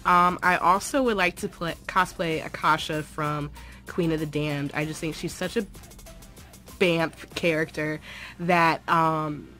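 A woman's voice: deep voice, fairly even intonation, a little slow, pushed and bright, soft background music. A young woman in a casual vlog, chatting enthusiastically with lots of "um" and "like," recorded on a phone held at arm's length in a small room at home. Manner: chatty and enthusiastic, many fillers like "um" and "like". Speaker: dance group member.

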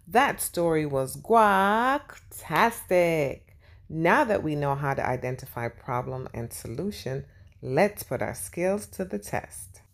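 Lady reading a kids story, slow and really lively, kinda low voice, teachy. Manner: slow, animated, instructive. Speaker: children's read-aloud host. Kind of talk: storybook narration and lesson for young children.

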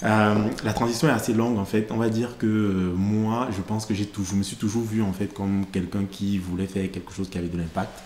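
A deep-voiced man in his thirties, originally from Cameroon, talks through his career in a steady, even tone. He pauses often to gather his thoughts, speaking into a stand mic. The studio sound is a bit roomy, with a faint hiss underneath. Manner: measured, thoughtful, many hesitations. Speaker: podcast host, interviewed guest. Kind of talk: conversational interview. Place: studio set with plants and glass-block wall.

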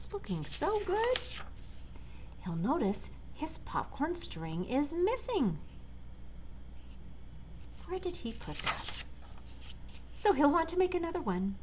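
American woman reading aloud, lively pitch. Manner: expressive, addressing young listeners directly. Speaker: storyteller reading a picture book to children. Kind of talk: read-aloud of a children's storybook with chatty asides. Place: large living room, indoors. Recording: clear background room noise.

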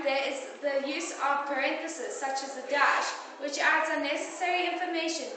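Teen girl reading notes in an echoey classroom. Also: high-pitched. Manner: careful, read-aloud delivery with small restarts. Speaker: student presenter. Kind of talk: school oral presentation, reading from notes. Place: classroom in front of a projector screen. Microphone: far camera. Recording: echoey classroom from far off, noisy.

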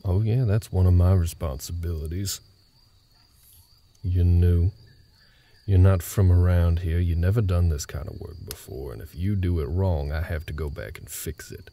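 Man playing a deep, gravelly, gruff cowboy. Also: moderate pace, warm tone. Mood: gruff, irritated. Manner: gruff, terse, rustic folksy drawling phrasing. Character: gruff, guarded male cowboy ranch hand. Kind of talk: intimate roleplay audio drama. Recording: nighttime cricket ambience behind voice. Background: crickets.

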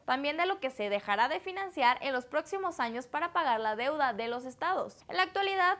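A woman's voice: high voice, fairly bright tone. A young woman with a Mexican accent presents a scripted news explainer, speaking directly and explanatorily to the viewer. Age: young adult. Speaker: host of an online news explainer. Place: indoor green-screen studio. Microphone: lapel mic.

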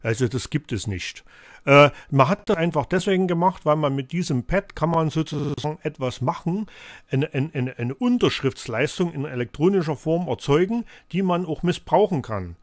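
A man's voice: a little high, expressive, moderate pace, no room sound. A man in his fifties with a cold, hosting a live call-in show from a home studio and lecturing in a rambling way with many fillers. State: has a cold, coughing.

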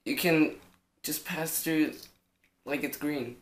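A man's voice: a little high, steady tone, slow. A young man, chatting casually and making prank phone calls. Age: teenager or young adult. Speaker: prank caller in a challenge video. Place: indoors in a living room on a couch. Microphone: camera a short distance away.

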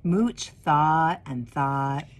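A woman in her forties reading a children's story expressively, with deliberate pauses and emphasis. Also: sits low. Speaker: minister of religious education reading a picture book.

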